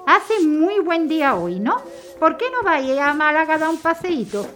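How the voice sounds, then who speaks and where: A woman playing a busy housewife mother, brisk and fussing gently at her son in a radio drama. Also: sits high, very animated, crowd noise. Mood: cariñosa. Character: harried housewife mother, middle-aged, busy and fond. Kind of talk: amateur radio drama acting.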